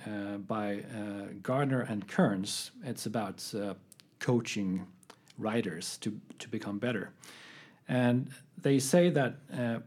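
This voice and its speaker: Male academic co-host, husky, mid-range, measured. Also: mid-range voice, a little mellow. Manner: measured, explanatory, hesitant fillers. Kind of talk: casual academic chat.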